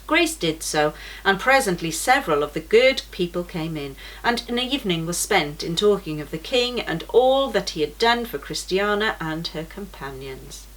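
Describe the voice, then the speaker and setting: Give me a woman reading a children's story aloud, gentle and unhurried, a bit low-pitched. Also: lively intonation, rough recording. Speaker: children's church story reader.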